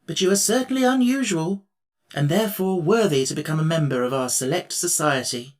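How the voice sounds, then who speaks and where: A man's voice: on the high side, a little fast, lightly acted. A man reading an audiobook, voicing a courtly and dignified tin emperor with formal, gracious speech. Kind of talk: audiobook character voice.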